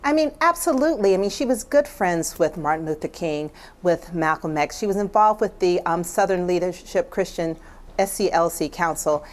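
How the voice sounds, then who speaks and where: A woman's voice: on the low side, lively intonation, moderate pace. A woman with an American accent speaking as a writer and guest in a thoughtful broadcast discussion.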